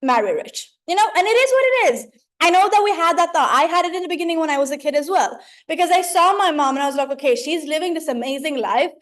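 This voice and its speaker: A woman, giving an informal, slangy motivational training on a video call in a chatty, animated way. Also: sits high, fast talker. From Sweden. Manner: chatty, animated, slangy, checks in with "right?" and "you know?". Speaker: mentor leading an online training.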